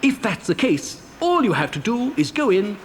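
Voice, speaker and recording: An actor playing an eccentric, gleeful chocolate factory owner in a children's audio drama, flustered, hesitant and mischievous. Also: male voice, very high-pitched, big pitch swings, moderate pace, heavily acted. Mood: mock-innocent glee. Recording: faint hiss, 1990 cassette dramatisation.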